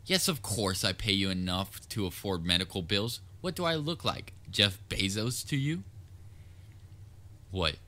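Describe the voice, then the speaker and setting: A very low-voiced, theatrical supervillain played by a man with a crisp, forceful edge. He is pompous and dryly sarcastic, a grand nemesis from a comedic audio roleplay who secretly cares about his rival. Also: expressive, measured pace. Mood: exasperated, scolding. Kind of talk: scripted audio roleplay, comedic villain monologue.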